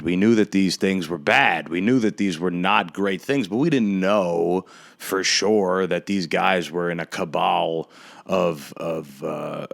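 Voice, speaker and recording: A young man in his twenties with a very low voice hosts his podcast alone. He rants in a sardonic tone and stretches his words out for emphasis. The recording is dry and close, with no room sound. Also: creaky, no room sound. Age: 20s. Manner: sardonic, ranting, drawn-out emphatic delivery. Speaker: podcast host. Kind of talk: solo podcast rant.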